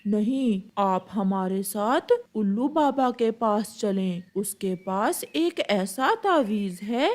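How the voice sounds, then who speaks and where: A woman voicing a calm, helpful young male pigeon who advises politely in an animated moral story. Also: medium pitch, takes it slowly, hardly any pauses.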